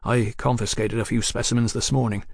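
A man voicing a forceful, resolute gentleman scholar in an audiobook, with formal, measured and emphatic speech. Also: medium pitch, flat delivery, vocal fry, husky, dry recording, clean studio sound. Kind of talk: audiobook character acting.

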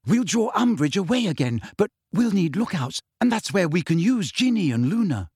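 A clever teenage witch girl, bossy and anxious, pleads and argues fiercely, as a man reading a storybook puts her on. The voice sits high and moves a lot. The recording is close and dry. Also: sing-song, moderate pace, darkish tone, husky, no room sound, heavily acted.

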